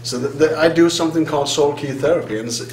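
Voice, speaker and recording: A middle-aged man from Denmark with a Danish accent, speaking on a hypnotherapy conference panel in an animated, self-deprecating way, laughing often. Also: a little high, fast talker. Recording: roomy hall sound, faint hum.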